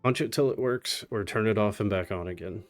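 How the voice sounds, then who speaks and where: A man's voice: on the low side, a little fast, faint music behind. A man giving casual live commentary as he speedruns a video game on a livestream.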